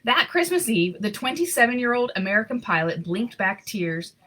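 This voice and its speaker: A woman reading a children's picture book aloud in an expressive, unhurried, sentimental way, with casual asides. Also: a little high. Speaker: storybook reader.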